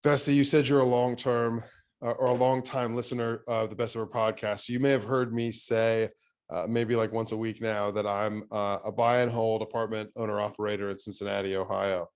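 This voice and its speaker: A man hosting a real estate podcast interview, talking in a relaxed way with many fillers and restarts. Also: fairly low pitch, a little fast, clean tone. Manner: thinks aloud with fillers and restarts. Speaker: podcast host. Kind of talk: conversational interview.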